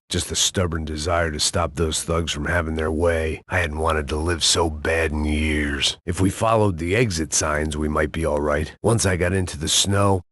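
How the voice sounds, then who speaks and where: A worn-out middle-aged ex-cop narrates a video game in a deadpan, bitter drawl. His voice sits very deep, and the studio sound is close and dry with no room around it. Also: no echo, exaggerated voice. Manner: deadpan, bitter, self-mocking.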